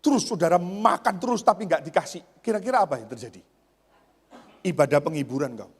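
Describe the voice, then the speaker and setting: A high-pitched male pastor delivers a church sermon in a hall with long reverb. He repeats key phrases emphatically and puts rhetorical questions to the congregation. His delivery moves between raised exclamations and quieter asides. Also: animated, a little fast, breathy edge.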